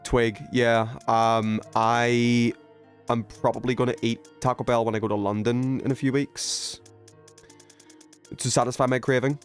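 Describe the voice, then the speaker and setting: A man's voice: mid-range voice, level tone, a little slow, soft background music. A man from the UK chatting casually to his viewers while gaming, with an emphatic, opinionated, sweary way of talking. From United Kingdom. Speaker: video game livestreamer.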